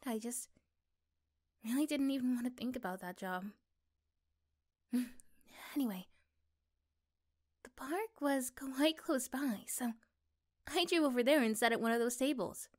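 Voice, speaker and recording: Woman acting high-voiced, slow, tender girlfriend. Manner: soft, hesitant, tender, drawn-out pauses. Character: gentle, affectionate young girlfriend, astonished yet accepting. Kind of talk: ASMR roleplay, intimate one-sided dialogue.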